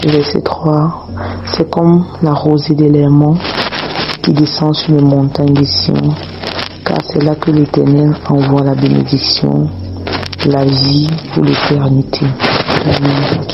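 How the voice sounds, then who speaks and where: Slow gravelly man preaching with a cold. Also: a little high, monotone, dull audio, background noise. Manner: slow, repetitive, prayerful. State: has a cold, slightly hoarse. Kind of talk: prayer and Bible teaching.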